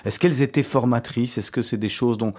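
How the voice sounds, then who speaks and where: A male radio host with a mellow, soft-edged voice interviewing a guest. He builds his questions slowly, stumbling and repeating words along the way. His pitch sits in a typical middle range. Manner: halting, repeats words while forming questions.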